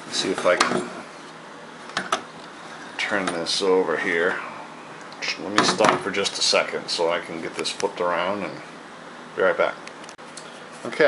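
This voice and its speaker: A man casually narrating his hands-on work, halting as he thinks aloud, recorded on a handheld camera indoors. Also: fairly low pitch, noisy background. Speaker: hobbyist radio repairer presenting his own video. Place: indoors at a wooden table in a home. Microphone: handheld camera, held near the work.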